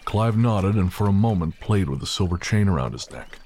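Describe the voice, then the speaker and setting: A man's voice: sits low, animated, brisk, dark tone, a little hiss or hum. A man narrating a scripted audio drama as its storyteller.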